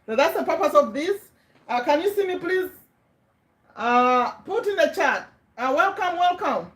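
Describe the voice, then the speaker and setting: A woman's voice: sits high, lively intonation, measured pace, fairly bright tone. Chatty Ugandan woman, echoey room. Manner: chatty, checks in with viewers, repeats words for emphasis. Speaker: livestream host. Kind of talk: casual motivational livestream.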